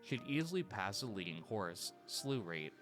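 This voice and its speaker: A man delivers scripted documentary narration in a steady storytelling manner. His voice sits low, and his intonation rises and falls a lot. Soft music plays behind him. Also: normal speed.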